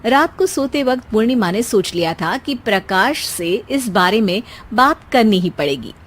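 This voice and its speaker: A woman narrating a cartoon moral story in calm, scripted storytelling. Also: medium pitch, moderate pace.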